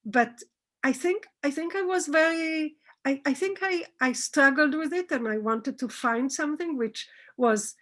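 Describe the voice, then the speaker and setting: High-voiced woman scholar searching for words. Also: fairly bright tone. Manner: halting, searching for words, rephrasing often. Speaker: scholar and author answering questions. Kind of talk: academic talk answering audience questions.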